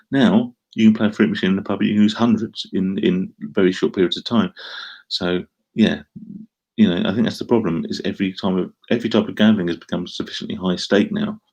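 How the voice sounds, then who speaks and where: A man's voice: low voice, a little mellow. A middle-aged man from Essex with an Essex accent, chatting casually on a live stream and answering comments in a rambling, self-deprecating way. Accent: Essex English. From Essex, England. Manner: rambling, self-deprecating, frequent ums and restarts.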